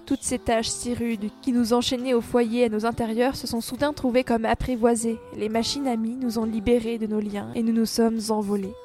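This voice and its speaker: A woman's voice: a little high, level tone, normal speed, hardly any pauses, dark tone, soft background music. A woman reading a scripted, literary narration.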